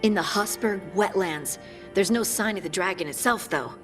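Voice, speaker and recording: An earnest, hopeful young female scout, played by a woman in a fantasy game. She talks politely and sincerely at a middling pitch, with music clearly underneath. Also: moderate pace, close to an everyday voice. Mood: brisk, businesslike. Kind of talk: video game voice acting. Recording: music behind the voice. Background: game soundtrack music.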